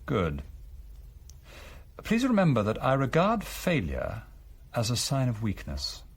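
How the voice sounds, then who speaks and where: A chilling Nazi chief in a radio drama. His husky, mid-pitched voice moves expressively up and down. He is courteous and pompous, with a soft threat under every measured phrase. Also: wildly swooping pitch, full character voice. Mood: cold threat. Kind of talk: broadcast radio drama acting.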